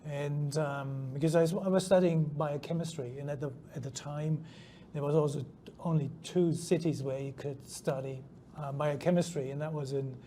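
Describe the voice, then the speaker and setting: A man's voice: on the high side, fairly even intonation, normal speed, warm tone. A middle-aged German-born man with a New Zealand accent, a scientist guest answering interview questions hesitantly, with many ums and restarts. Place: conference venue. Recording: faint background noise at a conference.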